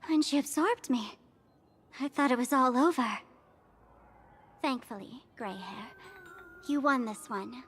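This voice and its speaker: High-pitched cute little game character. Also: female voice, measured pace, pauses often, a bit bright, a little hiss or hum. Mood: relieved, grudging. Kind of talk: acted game dialogue. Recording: faint music behind.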